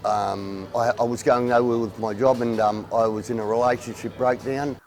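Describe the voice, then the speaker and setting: A man's voice: mid-range voice, steady tone, moderate pace, a little mellow. A man with an Australian accent, speaking plainly and hesitantly in a documentary interview.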